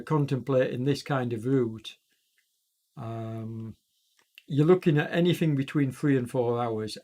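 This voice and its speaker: A man with a chest cold giving loose, rambling live commentary on a virtual cycling event as its host, often pausing and correcting himself. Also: mid-range voice, a little mellow. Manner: rambling, self-correcting, many fillers. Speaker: e-racing commentator and streamer.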